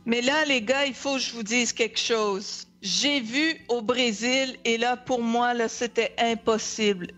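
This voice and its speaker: A woman's voice: a little high, unhurried, few pauses, fairly bright tone. A woman with a Québécois French accent, a native French speaker, chatting casually as a podcast guest. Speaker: podcast guest, immigration consultant. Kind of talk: casual chat.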